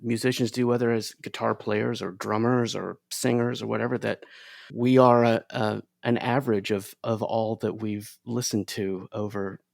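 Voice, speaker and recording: A man's voice: on the low side, little pitch movement, moderate pace, creaky, no echo, clean studio sound. A man hosting a casual interview conversation, enthusiastic and complimentary, often stumbling and repeating words. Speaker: podcast host.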